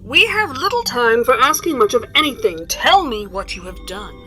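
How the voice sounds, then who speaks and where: A woman's voice: on the high side, very animated, moderate pace, pushed and bright, soft background music. A woman playing a cruel, impatient evil empress in a fantasy audio drama, haughty in her commands and savoring her threats. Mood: impatient, commanding.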